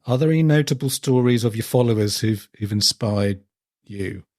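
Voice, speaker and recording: A man hosting a running podcast, asking questions in a halting, stumbling way. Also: lowish voice, a little mellow, no room sound, clean studio sound. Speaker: podcast host. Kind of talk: casual podcast interview.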